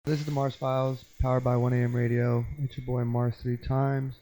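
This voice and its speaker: A man's voice: medium pitch, flat delivery, fairly quick, loud music behind the voice, low-quality recording. A man hosting an interview, asking questions in an easygoing, laid-back way. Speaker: interview host. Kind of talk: casual interview.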